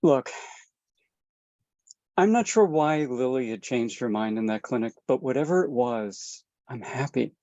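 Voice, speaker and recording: Woman reading as an apologetic guy who stammers, slow, lively pitch, dull dry sound. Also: no echo. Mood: hesitant. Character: guilt-ridden man, reluctant father. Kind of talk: screenplay table read.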